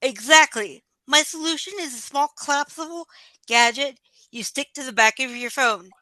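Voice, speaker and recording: An actress playing an inventive, business-savvy entrepreneur in an audio play, with a polished sales-pitch delivery. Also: female voice, moderate pace, pushed and bright, no echo, low-quality recording. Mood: eager, persuasive.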